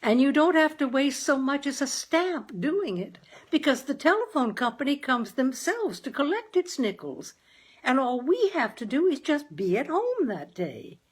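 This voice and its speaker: A gentle, wheedling mother from a storybook, acted by a woman reader, pitched high and lilting. The talk is chatty, folksy and persistently coaxing. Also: very animated, moderate pace. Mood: eager, persuasive.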